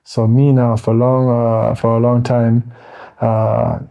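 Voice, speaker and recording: An American man in his forties talking casually as an interview guest, easygoing and meandering, often pausing mid-thought. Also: medium pitch, level tone, gravelly, mellow, highs cut off. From Wisconsin, United States. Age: 40s.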